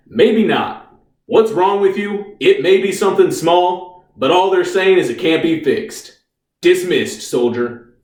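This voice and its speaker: A man's voice: high voice, animated, slow, darkish tone. Man acting a stern army officer, very echoey. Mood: stern, official. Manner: clipped, authoritative. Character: stern male army officer, commanding, patronizing. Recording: echoey room, distant microphone.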